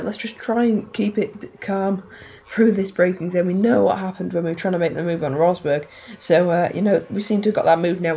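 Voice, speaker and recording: A woman with a hoarse, fading voice giving rambling, excitable play-by-play of her racing game, full of fillers. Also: on the low side, highs cut off, a little hiss or hum. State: hoarse, losing voice. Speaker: gaming channel host.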